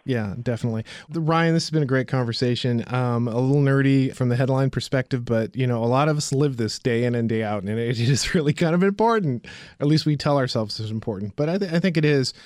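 A man's voice: medium pitch, fast, darkish tone, no echo, clean studio sound. A man, hosting a podcast in a conversational interviewing style. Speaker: podcast host. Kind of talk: conversational interviewing and show outro.

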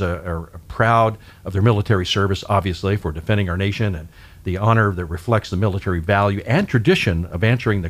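A man hosting a weekly public affairs radio show, chatting and interviewing guests in an upbeat way. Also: sits low. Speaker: radio host.

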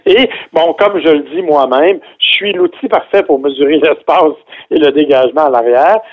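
A man's voice: a little high, expressive, moderate pace. Chatty Québécois man on a phone line. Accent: Québécois French. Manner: chatty, explains with a joking aside. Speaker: car reviewer guest. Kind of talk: casual radio chat.

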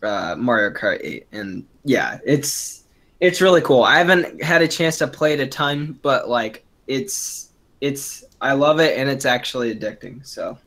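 A man's voice: medium pitch, expressive, a bit bright. Rambling young man on a podcast. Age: young adult. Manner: rambling, halting with restarts and fillers. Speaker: podcast co-host. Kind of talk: casual chat.

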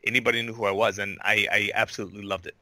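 An enthusiastic male guest, raised in Honolulu, chats on a podcast. His voice is bright and forward, at an average pitch. The sound is dry, with no room echo. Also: little pitch movement, very fast. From Indiana-born, raised in Honolulu. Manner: enthusiastic, says "man" and "freaking". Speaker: guest, actor. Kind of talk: casual interview chat.

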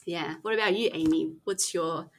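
A woman career adviser explains her points patiently and keeps asking rhetorical questions to make them land. Her voice sits a little on the low side. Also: normal speed. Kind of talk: podcast conversation.

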